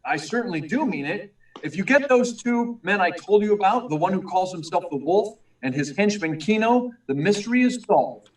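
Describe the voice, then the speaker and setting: Man acting as young male reporter, high voice, insistent, old-time radio acting, roomy echo. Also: animated, moderate pace, far from the mic. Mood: urgent, insistent. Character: earnest, urgent young male newspaper reporter. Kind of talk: 1940s radio drama acting. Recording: echoey room, away from mic.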